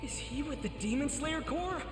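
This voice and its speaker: A man's voice: extremely high pitch, lively intonation, normal speed, roomy sound, over music. An actor playing an earnest, protective teenage demon slayer boy in an anime game, speaking with resolve and reassurance. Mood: alert wonder. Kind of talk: dubbed anime game acting.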